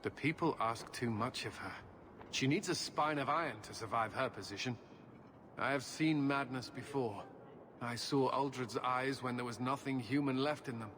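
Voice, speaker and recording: A man acts a solemn officer of a holy knightly order in a fantasy game, formal and troubled by doubt. Middling pitch, with faint noise behind. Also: moderate pace, a bit bright, natural voice.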